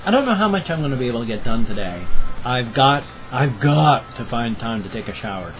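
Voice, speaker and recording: A man's voice: mid-range voice, sing-song, brisk, faint music behind. A man in his fifties rambling through a casual daily vlog at home, with jokey asides, recorded on a webcam. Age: 50s. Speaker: vlogger.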